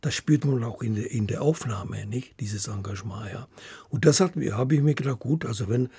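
A man with a husky, gravelly voice giving interview recollections as an author, haltingly, often ending phrases with tag questions. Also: medium pitch, mellow, dry recording. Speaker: author of radio plays, guest.

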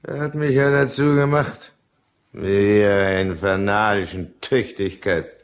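A deep male voice in a German radio drama gives us a self-pitying businessman who drinks. He narrates and argues in a brooding, irritable way, always defending himself. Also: fairly even intonation, unhurried, clean tone. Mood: indignant, defensive. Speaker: lead character and first-person narrator.

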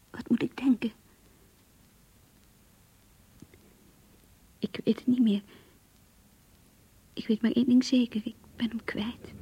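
An actress playing an anguished, lovesick young woman confiding in her diary in a radio play, hushed and intimate. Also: on the high side, dull audio, a little hiss or hum. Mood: heartbroken, bewildered. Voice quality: breathy, hushed, whispery. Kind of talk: Dutch radio play monologue.

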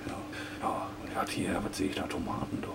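A man's voice: quick pace, very noisy, natural voice. A man playing a simple, old-fashioned farmer with a Northern German accent, chatty and repetitive, constantly tagging his phrases with questions, in a comedic audio play. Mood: content, chatty.

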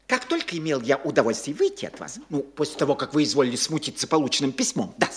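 A fussy middle-aged country landowner who rambles and stammers breathlessly. His pitch bounces all over the place as he piles up detail. A stage radio play reading. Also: very animated, fast talker, slight background noise. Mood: eager.

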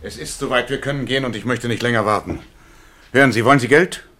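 An actor playing a polite, businesslike captor in a 1950s German radio drama, speaking insistently and precisely. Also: male voice, medium pitch, fast talker, sharp tone, slight background noise, natural voice. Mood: urgent, courteous. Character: coolly courteous male captor, urgent and businesslike.

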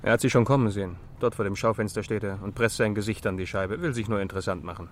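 A fairly low-voiced police sergeant, acted by a man in an old radio crime drama with faint noise. He reports to his chief in short, matter-of-fact remarks. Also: fairly even intonation, fast, lightly acted. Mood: watchful. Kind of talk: 1960s German radio play acting.

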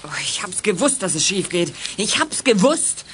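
High-pitched whiny young crook. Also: male voice, very animated, normal speed, a bit bright, a little hiss or hum. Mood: panicked whining. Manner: wailing, lamenting. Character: whiny, frightened young male petty crook and sidekick. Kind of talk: 1980s German youth radio play acting.

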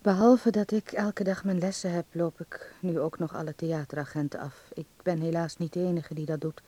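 Man acting young student in a radio play, soft dark tone, calm letter to mum, measured. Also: a little high, natural voice. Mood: calm, confiding. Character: young male acting student writing calmly to his mother. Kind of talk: radio drama letter monologue.

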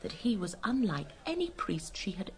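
A woman's voice: mid-range voice, big pitch swings, moderate pace, close to an everyday voice. A woman playing a plain-spoken, proper nurse in a radio drama, with an English accent and a confiding, frank manner. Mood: thoughtful, candid. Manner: confiding, hesitant, then frank.

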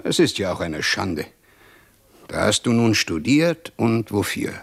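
A jovial, grumbling caretaker and father for a radio play. His voice is raspy and creaky and swings widely in pitch. He teases in a hearty, folksy way. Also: sing-song, measured pace, exaggerated voice. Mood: jovial, mocking. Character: gruff, jovial, teasing Russian building caretaker and father. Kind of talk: German radio play acting.

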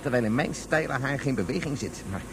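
A man acting as a hasty father at a spaceship's controls in a radio play. His speech swings animatedly in pitch as he grumbles. A faint rumble runs behind him. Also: expressive, a little fast, natural voice. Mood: irritated, grumbling. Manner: grumbling, self-justifying. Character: hasty, impatient father, a male spaceship pilot. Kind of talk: Dutch science-fiction radio drama acting.

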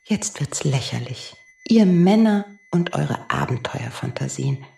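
A woman playing a sharp-tongued, seductive space traveler in an audio drama, mocking and sometimes dropping to a near whisper. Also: sits low, lively intonation, measured pace, gravelly, heavily acted. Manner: mocking, breaks into whispery tones. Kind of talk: scripted audio drama acting. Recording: echoey room.

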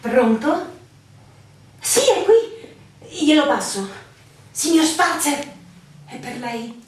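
A woman's voice: high-pitched, very animated, extremely slow, heavily acted. An actress playing a courteous, inquisitive woman who works at a village inn, in a theatrical radio drama. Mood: courteous, practical. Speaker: stage and radio actor. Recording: echoey room, distant mic, clear noise.

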